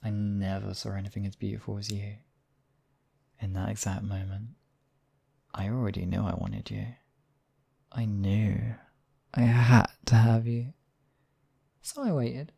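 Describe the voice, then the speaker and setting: A man's voice: fairly low pitch, steady tone, measured pace, vocal fry, long pauses, warm tone, slightly rough, full character voice. A man playing a smug, obsessive demon king in a theatrical audio roleplay, silky and possessive in his wooing. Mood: wistful, wooing. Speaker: voice actor. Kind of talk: theatrical roleplay monologue, ASMR audio drama.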